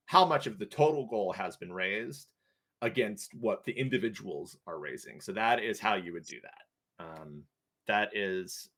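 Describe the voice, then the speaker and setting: Casual bright-voiced tutorial co-host. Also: low voice. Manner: casual, thinks aloud, many fillers. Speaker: co-host, platform guide. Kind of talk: live stream tutorial.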